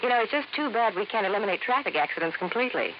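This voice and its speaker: Noisy, muffled radio ad. Sweet, fussing wife played by an actress, cooing, very lively pitch. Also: female voice, very fast, close to an everyday voice. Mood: curious, chatty. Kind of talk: 1950s radio commercial skit. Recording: muffled, noisy, hissy vintage radio recording.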